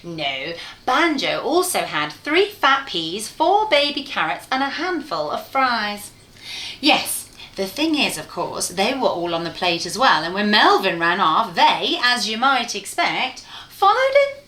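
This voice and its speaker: A woman reading a children's bedtime story aloud in an expressive, playful way, speaking directly to her listener. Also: medium pitch, a little slow, slight background noise. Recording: roomy, echoey room sound.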